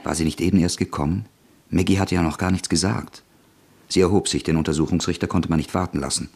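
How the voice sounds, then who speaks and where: A man narrating a radio play in a measured, even storytelling manner. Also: low-pitched, vocal fry, slightly rough.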